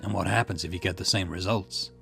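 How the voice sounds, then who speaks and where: A blunt, contemptuous man from a game, voiced by a man in a very deep, gravelly register. He speaks in curt jabs over clearly audible game music. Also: steady tone, quick pace, fairly bright tone. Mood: scornful. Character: blunt, scornful man confronting a killer. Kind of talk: reading game dialogue aloud.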